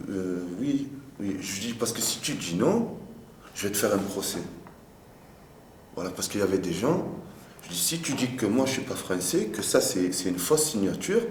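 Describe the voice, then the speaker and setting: A man with a deep voice speaks spontaneously, as if arguing a point in an interview. He repeats words and throws in rhetorical questions. The sound is echoey with faint noise behind him. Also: a little mellow. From France. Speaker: street interviewee. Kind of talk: casual, animated street talk.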